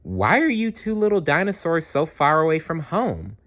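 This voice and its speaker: A huge, kindly lady stegosaurus from a picture book , played by a man, lifted in pitch. She is protective and gently cautioning. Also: huge pitch swings, moderate pace, dull audio. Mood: kind concern. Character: kind, giant female stegosaurus, protective and cautioning.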